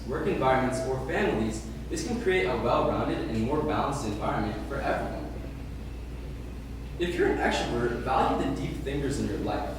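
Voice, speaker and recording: Young man giving a speech, very echoey room. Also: on the high side. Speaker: student speaker. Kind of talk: rehearsed public speech. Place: live talk in a large room. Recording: roomy, distant, noisy event recording.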